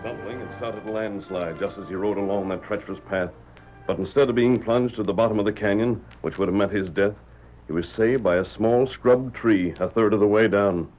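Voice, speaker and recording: An actor playing a righteous masked frontier hero, speaking American English in a firm, measured, authoritative way in a radio western. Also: male voice, on the low side, faint music behind, close to an everyday voice. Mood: grave, storytelling. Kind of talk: old-time radio western acting. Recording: muffled vintage radio drama.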